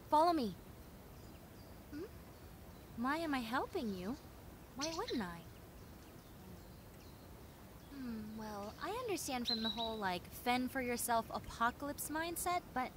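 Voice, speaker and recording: A woman playing a friendly, clumsy young female zombie in a roleplay audio drama, talking in a chatty, expressive, rambling way. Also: on the high side, background noise, lightly acted. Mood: kind, friendly. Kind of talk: roleplay audio drama, conversational monologue addressed to listener.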